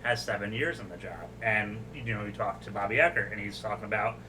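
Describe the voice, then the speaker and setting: A man's voice: on the low side, fast, recorded from a distance, noisy background. A man, a firefighter, co-hosting a podcast and chatting casually about his work. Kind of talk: casual chat.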